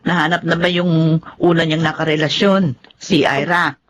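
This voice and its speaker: A woman's voice: low-pitched, steady tone, moderate pace, natural voice. An older woman with a Filipino accent playing an inquisitive, approving mother in a TV drama. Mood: prying.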